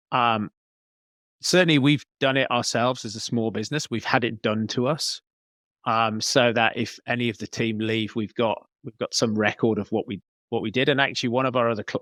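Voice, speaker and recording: A man's voice: mid-range voice, a bit bright, no echo, studio quality. A man giving conversational interview answers as a podcast guest, explaining things as he thinks aloud. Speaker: podcast guest, business coach.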